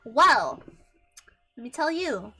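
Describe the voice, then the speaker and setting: A woman in her twenties with a high-pitched voice, chatting casually on a livestream and swearing freely, rambling with many restarts. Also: big pitch swings, takes it slowly, pushed and bright, slightly rough. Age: 20s. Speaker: VTuber streamer.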